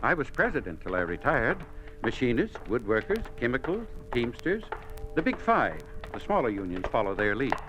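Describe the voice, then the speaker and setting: A man's voice: mid-range voice, huge pitch swings, moderate pace, music in the background. An actor playing a weary, uneasy older union chairman, hesitant and protesting, in a 1950s radio drama. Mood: flustered, deferential. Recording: noisy old 1950s radio broadcast.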